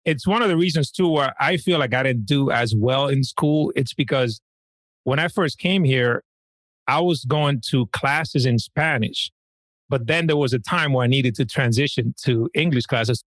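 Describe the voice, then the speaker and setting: A man from the Dominican Republic, a guest on a podcast, telling his story in an easygoing, warm way. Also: mid-range voice, moderate pace, dry recording. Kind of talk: casual interview chat.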